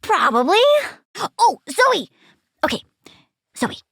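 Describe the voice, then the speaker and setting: Video game villain, a little plant-controlling girl: very high, bright, dry-recorded, perky, bratty, gleefully menacing. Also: sing-song, slow, creaky, dry recording, exaggerated voice. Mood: perky, eager. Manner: perky, precocious, sassy. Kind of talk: video game character voice lines.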